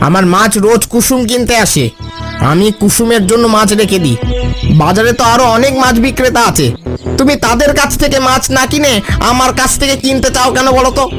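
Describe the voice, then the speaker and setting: A man's voice: very high-pitched, fairly quick. A man playing a frank, chatty older village fish seller in a cartoon. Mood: suspicious. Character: loyal, suspicious older village fishmonger, talkative haggler. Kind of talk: cartoon voice acting. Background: background music.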